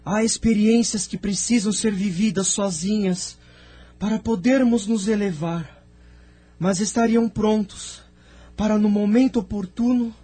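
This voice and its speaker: A man playing a spirit medium in trance, solemnly recounting visions in a slow, deliberate audio drama delivery. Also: high voice, steady tone, warm tone. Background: background music.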